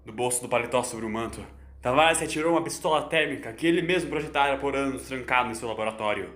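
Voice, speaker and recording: A man's voice: mid-range voice, fast, a bit bright. A man narrating a scripted dramatic story in a solemn, theatrical way. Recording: echoing voice over music.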